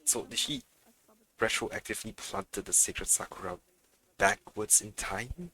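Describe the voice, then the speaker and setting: A man's voice: lowish voice, big pitch swings, unhurried, bright, forceful tone, breathy edge, poor audio. A man giving casual live commentary as he streams a video game.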